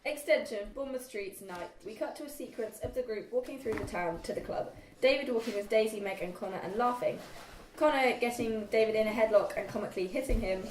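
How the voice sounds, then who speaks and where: A woman's voice: a little high, brisk. A woman narrating script stage directions at an informal table read. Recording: echoey room, distant mic, faint noise.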